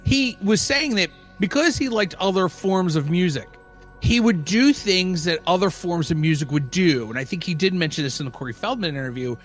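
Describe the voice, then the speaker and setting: A middle-aged man chats as a podcast co-host in a fairly high voice. He is agreeable and informal, repeating small phrases as he goes. Quiet music sits in the background. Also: animated, moderate pace. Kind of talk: casual podcast conversation.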